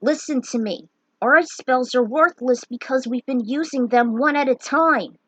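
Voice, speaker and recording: A woman's voice: high voice, unhurried, a bit bright, husky, no echo, heavily acted. A woman voicing a brave young male knight who leads his friends, speaking in an emphatic, commanding and urgent way. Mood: instructing.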